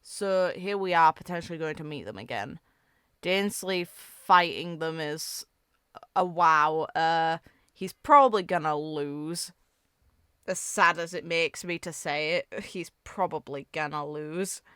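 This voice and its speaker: A woman's voice: deep voice, a little slow, a bit bright, clear, resonant tone, no room sound, clean studio sound. A woman giving casual, conversational video game reaction commentary, musing aloud as she goes.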